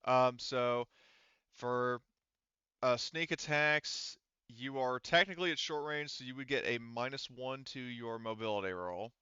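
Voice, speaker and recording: A man's voice: medium pitch, measured pace, pushed and bright, clean tone, no room sound, lo-fi sound. A man running a tabletop roleplaying game as game master, speaking casually and swearing freely. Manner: casual, profane.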